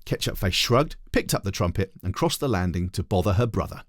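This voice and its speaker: A man, a teacher, reading a children's storybook aloud in an animated narrating style. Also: low voice, brisk, no room sound, clean studio sound.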